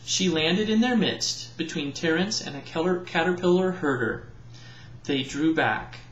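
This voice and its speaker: A man's voice: on the high side, lively intonation, unhurried, a bit bright, a little hiss or hum. A man narrating a children's storybook aloud, as a parent reading to children. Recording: roomy echo, slightly dull home recording.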